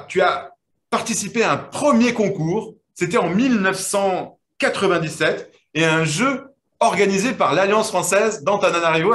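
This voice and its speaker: A man's voice: high voice, a bit bright, roomy sound, slight background noise. A man hosting an online quiz final, interviewing the winners in a friendly, hesitant way with many fillers. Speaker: host.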